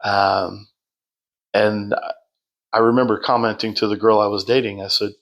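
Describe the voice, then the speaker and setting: Unhurried low-voiced man with a projecting voice. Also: level tone. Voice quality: loud, projecting voice. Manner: unhurried, with many fillers and restarts. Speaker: podcast guest. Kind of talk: casual storytelling.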